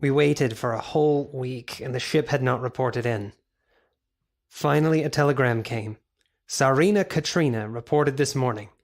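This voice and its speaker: Actor as sober, mid-pitched gothic narrator. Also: male voice, vocal fry, natural voice. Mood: tense, reporting. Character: sober male diarist narrating a gothic pursuit. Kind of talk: scripted dramatic narration.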